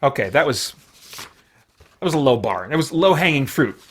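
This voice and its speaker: A man's voice: mid-range voice, very animated, moderate pace, a bit bright, breathy edge. A man chatting casually as a livestream host, in a rambling, self-deprecating way.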